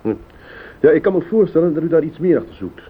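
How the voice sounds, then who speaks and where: An actor playing a composed private detective who questions politely and methodically in a radio play. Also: male voice, lively intonation, quick pace, breathy edge. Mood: measured, probing. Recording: tinny old radio recording, hiss, rumble.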